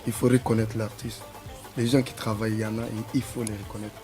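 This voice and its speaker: Low-voiced man, halting speech. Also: little pitch movement, over music. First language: Sara. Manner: halting, repeats words while searching for them. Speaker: musician guest. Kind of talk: casual interview answers.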